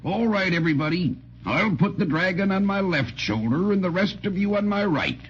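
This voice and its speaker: An actor playing a kindly, folksy, gentle giant in a 1930s children's radio serial. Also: male voice, sits high, animated, moderate pace. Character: friendly, chuckling, lonesome gentle giant. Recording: dull, hissy 1930s radio recording.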